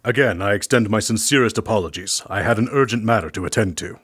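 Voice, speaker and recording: A man's voice: on the low side, quick pace, a bit bright, husky, no room sound, studio quality. A man playing a polite doctor with formal manners in a sci-fi audio drama. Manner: courteous, formal. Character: polite, formal male doctor at an intergalactic prison.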